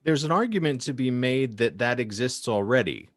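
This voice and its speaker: A man's voice: mid-range voice, animated, moderate pace, no echo, studio quality. A man hosting a podcast panel discussion, speaking in an articulate, wordy and wry way. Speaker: podcast host.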